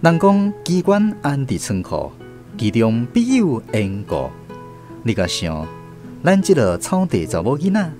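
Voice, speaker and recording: Animated Taiwanese male storyteller over soft guitar. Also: medium pitch. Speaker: radio drama narrator and storyteller. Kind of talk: colloquial storytelling narration. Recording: guitar music under the voice. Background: plucked guitar music.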